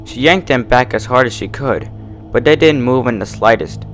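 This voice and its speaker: A man's voice: mid-range voice, moderate pace, faint music behind. A man narrating a story in a dramatic, scripted reading style. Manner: animated, dramatic.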